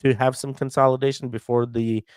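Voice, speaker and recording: A man's voice: medium pitch, level tone, a little fast, darkish tone, smooth, dry recording, studio quality. A man hosting a casual livestream, chatting to viewers about price charts while congested from a cold. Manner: chatty, talks straight to viewers and chat. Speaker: crypto livestream host. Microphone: headphones with mic.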